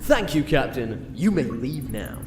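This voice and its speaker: A man's voice: mid-range voice, big pitch swings. A man playing a polite, formal detective who words things officially in a comedic fantasy audio drama. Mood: businesslike. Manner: formal, measured wording. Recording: roomy echo, faint background noise.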